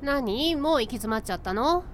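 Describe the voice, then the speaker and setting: A woman's voice: high-pitched, lively intonation, moderate pace, slight background noise. A woman playing a mischievous, carefree young female ghost, teasing and playful, in an amateur voice drama. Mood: breezy. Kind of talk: Japanese amateur voice drama acting.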